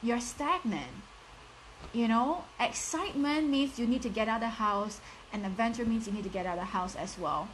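A woman's voice: a little high, moderate pace, a little hiss or hum, poor audio. Upbeat Singaporean woman coaching her viewers. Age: 30s. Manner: direct and encouraging, addresses the audience, many "like" and "you know" fillers. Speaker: life coach. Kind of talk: live motivational talk answering viewer questions.

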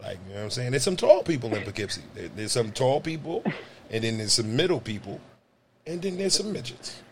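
A man hosting a podcast, joking crudely and loudly, swinging his voice high when he riffs. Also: very animated, a little fast, a little hiss or hum. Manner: loud, profane, joking, swings pitch high when riffing. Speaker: podcast host. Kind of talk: crude comedic banter.

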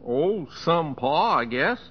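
An actor playing an easygoing middle-aged cowboy humorist with an American accent, speaking in plain, self-effacing homespun phrases in old-time radio style. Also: male voice, on the high side, sing-song, slow, husky, exaggerated voice. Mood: sheepish, easygoing. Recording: muffled old radio recording, reverberant stage.

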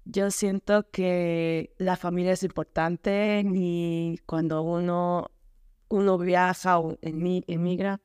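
A woman's voice: on the low side, little pitch movement, takes it slowly, a little mellow, clear, resonant tone, no echo, studio quality. A woman with an Ecuadorian accent telling her story casually as a podcast guest, often searching for words. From Quito, Ecuador.

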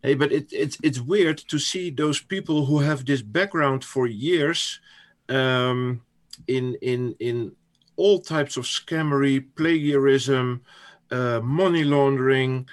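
A man's voice: medium pitch, takes it slowly. A man from the Netherlands with a Dutch accent, a non-native English speaker, talking casually as a guest with many hesitations and restarts. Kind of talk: casual podcast conversation.

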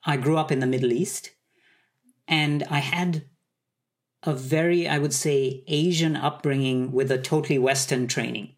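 A man from Sri Lanka, a conductor, answering interview questions in a thoughtful, measured way. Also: medium pitch, steady tone. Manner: thoughtful, measured, some hesitations. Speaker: conductor, guest.

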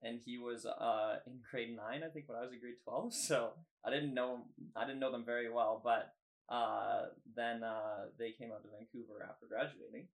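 A man's voice: mid-range voice, steady tone, normal speed, gravelly, rough recording. A young man from Canada, a guest filmmaker in a casual interview, easygoing and hesitant, with lots of "uh" and "yeah". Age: young adult.

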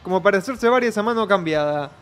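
A man banters on air and reads listener messages in a jokey way. His voice sits fairly high for a man. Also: animated, fast talker, a bit bright, faint music behind. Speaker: radio show host. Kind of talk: casual banter.

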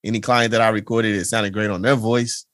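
Laid-back podcast host, dry close sound. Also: male voice, lowish voice, flat delivery, brisk, a bit bright, no room sound. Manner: laid-back, chatty, laughs often. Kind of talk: casual conversation.

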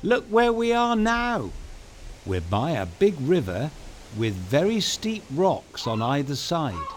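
A man's voice: on the high side, wildly swooping pitch, takes it slowly. A man narrating a scripted children's story in a playful, theatrical manner, speaking directly to young listeners. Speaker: storyteller and host of a children's bedtime podcast. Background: music.

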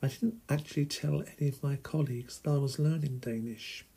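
A middle-aged man from England hosting a solo podcast, talking slowly and deliberately in a husky, gravelly voice. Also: medium pitch, fairly even intonation, dark tone. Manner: slow, deliberate and plain. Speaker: podcast host. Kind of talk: slow solo podcast monologue.

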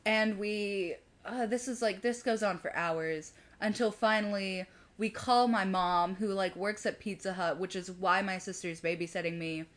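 A woman's voice: medium pitch, fairly even intonation, moderate pace, few pauses. A woman co-hosting a video, telling a story in casual chat with wry, self-deprecating humor. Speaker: video co-host.

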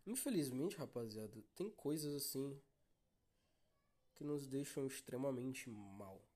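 A young man with a Brazilian Portuguese accent talks alone into his podcast mic, weary and downcast. His voice is dark and mellow, with a husky edge. He swears freely and keeps calling out to his listeners. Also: mid-range voice, lively intonation, measured pace. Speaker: podcast host. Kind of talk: solo confessional podcast monologue.

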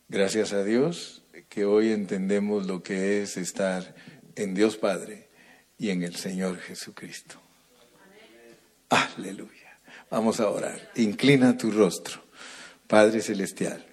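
Man, preacher, slow emphatic delivery, fairly low voice, church sermon, fervent prayer. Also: darkish tone, breathy edge. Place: church service, at night.

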